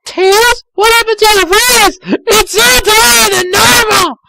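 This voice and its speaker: A man voicing an excitable, easily shocked young female cartoon character in an exaggerated shrill falsetto. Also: takes it slowly, gravelly, dry recording, exaggerated voice, poor audio. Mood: startled. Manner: exaggerated, dramatic.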